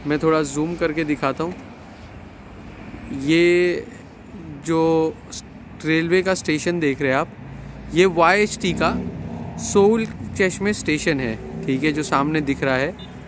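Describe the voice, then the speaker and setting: A man in his thirties giving a casual walkthrough of an apartment, talking slowly and hesitantly with many fillers, filmed on a handheld phone. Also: fairly high pitch, a little hiss or hum. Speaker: property tour guide. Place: terrace and empty apartment rooms outdoors and indoors. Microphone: phone camera held at arm's length.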